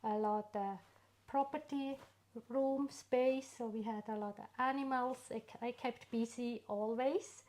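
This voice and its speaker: A middle-aged woman from Switzerland with a Swiss accent, an artist being interviewed about her work, speaking unhurriedly with pauses and fillers. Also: on the high side, fairly even intonation. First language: not English. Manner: unhurried, warm storytelling with frequent pauses and fillers. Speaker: artist guest. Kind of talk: casual interview.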